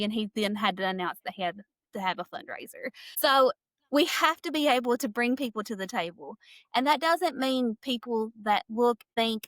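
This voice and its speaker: Energetic woman in her thirties. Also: fairly high pitch, dry recording. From southeastern Kentucky. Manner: energetic, talkative, laughs easily. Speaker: political candidate. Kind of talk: podcast interview.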